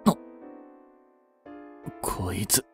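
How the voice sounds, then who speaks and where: A man's voice: extremely slow, a bit bright, soft background music. A man playing a cold, haughty young prince in a romantic audio drama, curt and imperious but secretly protective. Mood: alarmed, angry.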